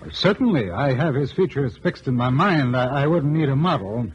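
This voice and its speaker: An actor playing a polite, earnest painter in a radio western, speaking in a formal, proper way. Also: male voice, medium pitch, lively intonation, normal speed, close to an everyday voice. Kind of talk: 1950s radio western acting. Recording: dull old radio recording, faint noise.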